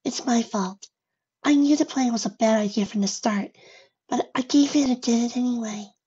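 A woman voicing a timid, dejected female bat pony in a soft, breathy, unsteady voice for a story reading. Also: on the high side, moderate pace, pitched above the performer's own voice. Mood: guilty. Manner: unsteady, sighing, meek. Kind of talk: fan fiction story reading. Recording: dull lo-fi recording.

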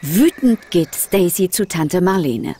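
A woman's voice: lowish voice, expressive, takes it slowly, dark tone, slight background noise. A woman narrating a scripted children's audio drama as a storyteller.

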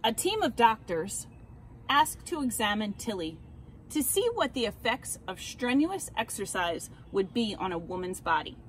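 An American woman reading a children's picture book aloud expressively, with deliberate, dramatic emphasis on key words. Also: a little high, big pitch swings. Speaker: read-aloud storyteller. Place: outdoors beside a house. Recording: clear background ambient noise outdoors.

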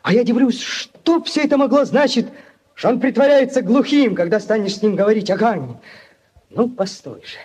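Bold young Cossack lad, very high-pitched. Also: male voice, expressive, normal speed, darkish tone, slight background noise. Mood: indignant. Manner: theatrical, rousing. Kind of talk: 1940s Soviet radio play acting. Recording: old 1940s radio recording.

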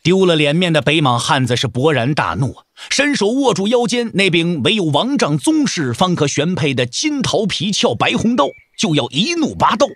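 A man's voice: on the high side, big pitch swings, moderate pace, hardly any pauses, fairly bright tone, no room sound. A man narrating a martial arts audio drama in a dramatic, emphatic storytelling style.

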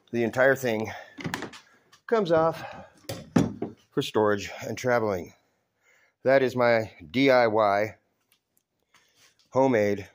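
Middle aged guy showing off a diy thing in his garage, folksy n humble, talks in little halting bits, phone in hand. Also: medium pitch, a little hiss or hum. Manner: folksy, self-deprecating, halting delivery in short phrases. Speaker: hobbyist DIY builder. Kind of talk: casual show-and-tell demonstration. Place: cluttered garage with open door. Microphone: handheld phone held by the speaker.

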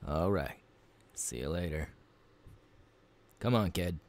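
A man voicing a gruff, easygoing pirate captain in an ASMR roleplay, gravelly and unhurried, talking intimately to the listener. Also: deep voice. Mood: relaxed, welcoming. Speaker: voice actor.

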